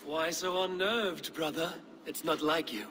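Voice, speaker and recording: An actor playing a bold, blunt Viking warrior in a dramatic game cutscene, speaking in a direct, terse way. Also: male voice, a little high, fairly even intonation, measured pace, sharp tone, slight background noise. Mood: teasing, critical.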